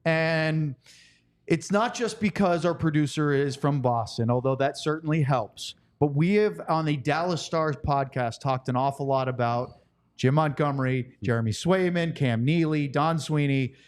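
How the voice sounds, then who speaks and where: A man's voice: on the high side, moderate pace. A man hosting a sports podcast, moving between conversational talk and sponsor reads.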